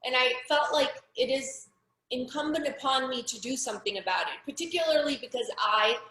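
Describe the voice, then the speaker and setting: A woman's voice: sits high, a little fast, pushed and bright, a little hiss or hum. Earnest woman in an echoey room. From Pakistan. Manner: earnest, frank, with many restarts and fillers. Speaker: interview guest, activist. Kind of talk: personal testimony in an interview.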